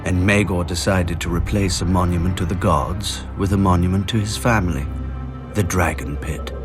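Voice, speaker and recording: A man narrating fantasy history in a grave, measured storytelling manner. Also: deep voice. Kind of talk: scripted lore narration. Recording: music behind the voice.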